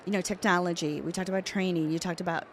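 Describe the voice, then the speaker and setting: Female host, slightly low voice, conversational interviewing style, faint background ambience. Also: steady tone, quick pace, a little hiss or hum.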